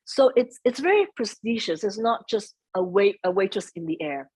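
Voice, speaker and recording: A soft-spoken middle-aged woman speaking as a guest in an interview, chatting about family, sometimes slipping into Vietnamese phrases. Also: medium pitch, animated, normal speed, breathy edge. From United States. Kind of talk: conversational interview.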